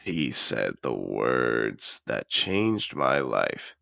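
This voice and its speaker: A man's voice: very deep voice, big pitch swings, very slow, creaky, sharp tone, no room sound, full character voice. A man playing a suave, possessive, wealthy dragon in human disguise, telling his story in a refined, theatrical manner in a scripted fantasy audio roleplay. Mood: suave, self-satisfied.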